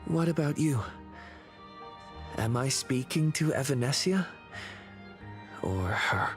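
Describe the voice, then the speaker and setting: A calm gentle young hero in a game, talks slow, faint music behind. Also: male voice, medium pitch. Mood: questioning. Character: calm, earnest young male game protagonist. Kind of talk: video game voice acting.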